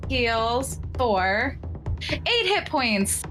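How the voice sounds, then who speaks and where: A woman's voice: fairly high pitch, big pitch swings, drawn-out words, a bit bright. A woman playing a tabletop role-playing game, talking casually, with music in the background. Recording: music behind the voice.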